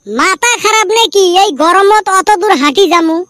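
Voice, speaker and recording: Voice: very high voice, lively intonation, moderate pace, sharp tone, no echo, clean studio sound, exaggerated voice. A performer voicing a grumbling, teasing young village friend in a comedy cartoon, with a northern Bengali accent. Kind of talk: comic cartoon dialogue.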